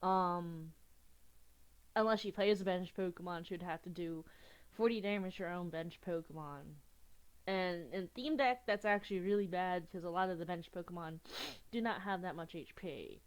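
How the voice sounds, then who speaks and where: A woman's voice: lowish voice, moderate pace, smooth. Sniffly young woman commenting on a card game. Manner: chatty, giggly, restarts often. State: sniffly with a cold. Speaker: gaming youtuber.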